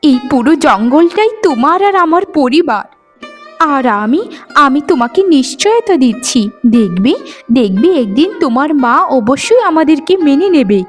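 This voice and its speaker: A woman voicing a gentle, devoted young bride in a cartoon, tender, earnest and pleading. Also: sits high, sing-song, normal speed, faint music behind. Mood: tender, consoling. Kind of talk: melodramatic cartoon acting.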